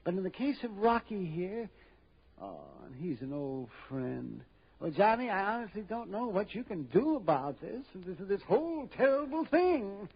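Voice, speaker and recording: Casting: flustered wealthy older fusspot for vintage radio play; high, swooping voice, stammering and scolding, dull old recording. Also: male voice, very animated, normal speed, slightly rough, heavily acted. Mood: anxious, flustered. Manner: dithering, stammering, repeats himself, scolding. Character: fretful, fussy, wealthy older man, easily flustered. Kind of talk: old-time radio drama acting.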